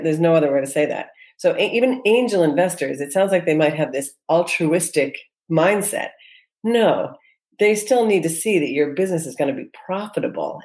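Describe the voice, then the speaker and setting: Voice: medium pitch, a little mellow. Earnest entrepreneur full of restarts. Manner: earnest, with many restarts and fillers. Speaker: entrepreneur guest. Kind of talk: reflective interview answers.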